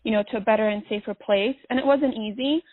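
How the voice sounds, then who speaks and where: A woman's voice: fairly high pitch, quick pace, no echo. A woman from Bosnia, a podcast guest speaking by phone, talking earnestly and reflectively with many fillers. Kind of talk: phone interview. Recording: dry tinny phone line.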